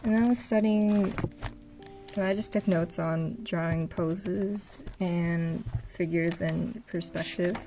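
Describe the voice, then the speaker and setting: A woman's voice: fairly low pitch, steady tone, slow pace, rough recording. A young woman touring her old sketchbooks in a casual, hesitant way, full of fillers, her voice getting tired. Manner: soft-spoken, hesitant, lots of fillers. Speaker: art vlogger. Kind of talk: casual sketchbook tour narration. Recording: voice over background game music.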